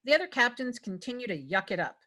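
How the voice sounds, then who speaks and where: A woman's voice: a little high, big pitch swings, fast, pushed and bright. A woman reading stage directions as the narrator at a script table read.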